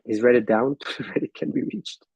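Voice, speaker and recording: A man hosting an online business forum, talking casually and haltingly while he walks through his shared screen on a video call. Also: medium pitch, steady tone, darkish tone, husky, no room sound, poor audio. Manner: halting, thinking aloud with fillers and restarts.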